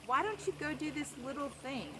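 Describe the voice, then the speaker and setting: A loyal soldier from a children's storybook, voiced by a woman storyteller in a high voice. The manner is gentle, coaxing and questioning, over clear background noise. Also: lively intonation, normal speed. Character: loyal soldiers gently persuading their male captain.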